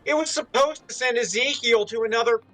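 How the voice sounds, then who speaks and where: Man acting as a male cartoon host, irritable, theatrical, fairly high voice, exasperated. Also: brisk, bright, forceful tone, poor audio.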